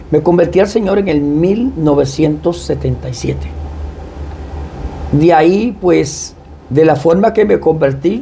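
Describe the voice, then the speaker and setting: A man with a Puerto Rican Spanish accent, a pastor speaking as a guest in a devout, deliberate testimony style. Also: on the high side, dark tone, slight background noise. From Puerto Rico. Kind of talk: personal testimony and prayer.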